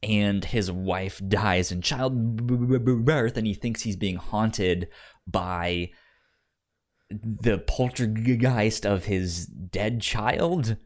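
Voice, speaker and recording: A man co-hosting a film podcast in casual chat, stuttering over sounds and words and acting out lines he paraphrases. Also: lowish voice. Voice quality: stutter, repeats sounds and words many times. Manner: halting, acts out paraphrased lines. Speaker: film review podcast co-host.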